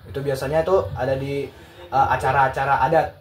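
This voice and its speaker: A teenage boy from North Sumatra chatting casually with friends, often pausing to search for words. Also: mid-range voice, flat delivery, recorded from a distance. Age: teens. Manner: hesitant, searching for words, slangy. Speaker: student. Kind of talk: casual chat. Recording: echoey room with faint noise.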